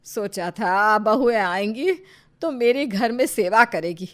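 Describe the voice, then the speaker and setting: A woman voicing a jealous, scheming older mother-in-law in an animated moral story, scolding and grumbling forcefully. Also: medium pitch, takes it slowly, close to an everyday voice. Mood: bitter grumbling.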